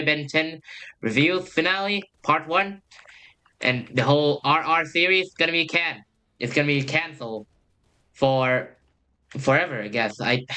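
Bright-voiced young man rambling over gameplay. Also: a little high, smooth. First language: Thai. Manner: rambling, hesitant, trails off with "I guess". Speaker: youtuber. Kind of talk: casual gameplay commentary.

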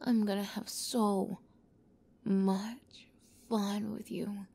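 A woman playing an obsessive young yandere captor in an ASMR roleplay, close to the mic, moving between soft whispers and giddy, high outbursts. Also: little pitch movement, extremely slow, vocal fry, slightly rough. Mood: whispered, possessive.

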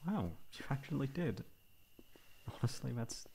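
A young man playing a caring, mildly exasperated boyfriend in an ASMR roleplay, coaxing and teasing with breathy sighs. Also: medium pitch, animated, measured pace, noisy background.

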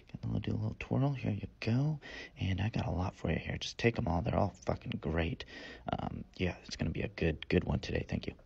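A man voicing a foul-mouthed, haggling sea otter in a comic animal dub, rambling and muttering in a casual deadpan. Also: on the low side, gravelly, husky, dull audio, exaggerated voice.

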